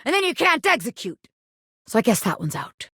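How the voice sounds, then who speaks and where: Cocky lil pin gangster boss for a video game, highish voice, swings all over, brash but soft underneath. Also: female voice, big pitch swings, fairly quick, breathy edge, no echo, studio quality, heavily acted. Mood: scheming, cocky. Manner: brash, bossy tough talk with a soft spot. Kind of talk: video game character voice acting.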